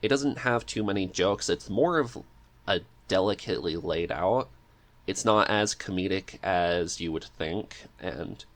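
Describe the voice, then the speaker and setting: A man with a low voice talks as a guest in a relaxed interview. He thinks aloud, a little hesitant, in an easygoing conversational way. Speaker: podcast guest, script writer and amateur voice actor. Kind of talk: casual interview chat.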